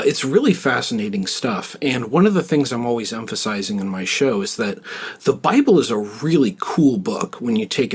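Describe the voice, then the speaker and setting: A man hosting a podcast, explaining things in a measured, articulate, scholarly way. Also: lowish voice, slightly rough. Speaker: podcast host. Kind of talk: podcast discussion and scripted explanatory narration.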